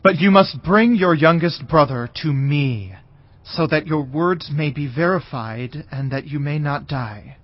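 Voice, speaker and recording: An actor playing a harsh, accusing governor of ancient Egypt in a dramatized audio Bible, speaking deliberately. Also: male voice, on the high side, no room sound. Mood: firm, commanding. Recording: dull audio with music.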